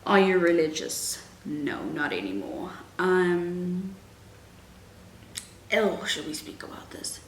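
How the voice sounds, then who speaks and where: Slow-talking young woman. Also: fairly low pitch, big pitch swings. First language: Afrikaans. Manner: chatty, frank, many "like"s and "um"s. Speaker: vlogger answering viewer questions. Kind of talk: casual Q&A chat. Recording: echoey room, faint background hum.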